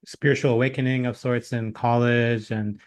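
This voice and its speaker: A man hosting an interview, asking polite, measured questions. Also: medium pitch, level tone. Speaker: interview host. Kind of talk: podcast interview.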